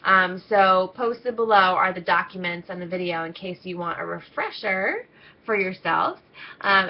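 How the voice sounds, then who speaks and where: A woman coaching her team in a chatty, upbeat training talk, nasal and stuffed up with a cold. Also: mid-range voice. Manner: upbeat, chatty, many ums. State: congested, coming down with a cold. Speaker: team coach leading an internship. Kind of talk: casual video training talk. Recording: slightly dull lo-fi audio.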